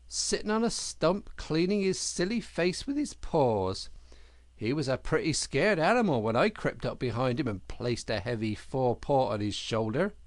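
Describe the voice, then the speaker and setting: A man's voice: on the high side, no echo. Man voicing a hearty, swooping storybook otter. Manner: jolly, emphatic British public-school slang. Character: hearty, boastful adult male otter, chummy and brisk.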